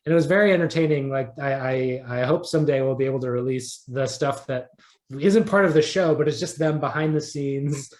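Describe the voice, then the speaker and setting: A man's voice: on the high side, fairly quick, darkish tone. A man talking casually as an interview guest, peppering loose, restarted sentences with likes. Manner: conversational, peppered with likes and repeated starts. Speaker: interview guest, audio drama creator.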